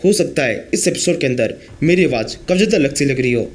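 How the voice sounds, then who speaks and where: A hoarse man narrating a comic story recap as the channel's host. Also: mid-range voice, animated, quick pace. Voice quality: husky.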